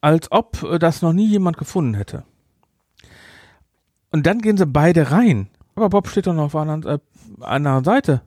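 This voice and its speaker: Halting, dry-voiced male podcast co-host. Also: a little high, lively intonation, darkish tone, dry recording. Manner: hesitant, many fillers and restarts, jumps in quickly. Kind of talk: casual review chat.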